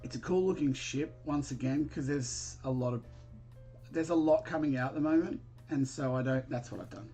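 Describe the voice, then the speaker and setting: Australian male streamer, mid-pitched, informal and rambling, music behind. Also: normal speed, warm tone. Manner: hesitant, rambling, thinking aloud; friendly, informal greetings to viewers. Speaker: live stream host. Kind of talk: casual chat while building, answering viewers.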